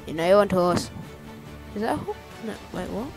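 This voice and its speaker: A man giving casual, rambling let's-play commentary, playful and easily excited. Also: high-pitched, a little fast, gravelly. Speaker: gameplay commentator. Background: game music.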